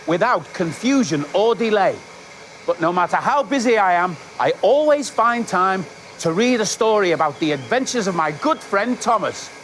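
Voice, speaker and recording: A man's voice: sits high, fairly bright tone, slight background noise. A man presenting children's storytime, narrating in a scripted style and speaking directly to young viewers with questions and simple instructions.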